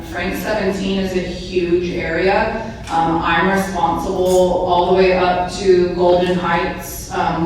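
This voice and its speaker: A woman talking to neighbors at a meeting, her loud voice full of ums and filler. She is picked up from across a very echoey room on a distant mic. Her pitch sits a little low for a woman. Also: a little hiss or hum. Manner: loud, chatty, many ums. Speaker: neighborhood police officer. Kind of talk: public talk at a community meeting. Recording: echoey room recorded from afar.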